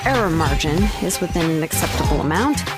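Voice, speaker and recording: A woman voicing a laid-back, expert female blacksmith in a game cutscene, talking in a relaxed, offhand way with frequent "uh"s. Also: deep voice, brisk. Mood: focused. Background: game background music.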